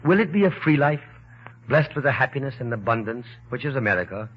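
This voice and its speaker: A muffled vintage radio broadcast of a man with a raised, husky voice. He declaims a patriotic appeal with forceful emphasis, his pitch moving dramatically. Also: lively intonation, fast. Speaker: war bond appeal speaker. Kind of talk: 1940s patriotic radio oratory.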